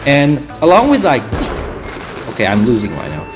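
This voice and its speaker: A man's voice: medium pitch, huge pitch swings, moderate pace, background noise. A young man, chatting casually through gameplay in a rambling way, full of fillers and restarts. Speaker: gaming channel host. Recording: game music behind the voice.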